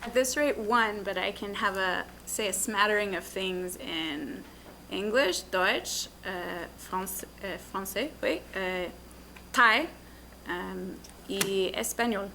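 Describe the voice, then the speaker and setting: Bright, slow-talking alderwoman. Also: mid-range voice, gravelly, a little hiss or hum. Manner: playful, halting. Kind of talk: playful banter at a council meeting.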